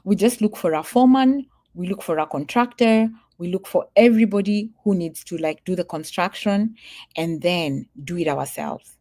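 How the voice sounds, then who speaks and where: Earnest lower-voiced woman vlogger. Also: moderate pace, mellow. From Kenya. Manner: earnest, emphatic, repeats warnings, laughs easily. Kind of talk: casual livestream talk to viewers.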